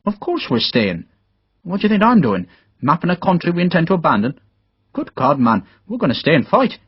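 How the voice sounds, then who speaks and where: A man's voice: medium pitch, sing-song, brisk, slightly rough, dry recording, dull audio. A man voicing a hearty, blunt middle-aged Irish engineer captain in an audiobook. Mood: indignant, rousing. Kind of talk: audiobook character dialogue.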